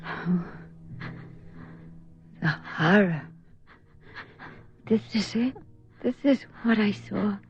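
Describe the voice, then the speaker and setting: A woman full of horror and remorse. She speaks very slowly and dramatically in a radio horror play, the recording muffled with faint music underneath. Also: medium pitch. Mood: horrified, remorseful.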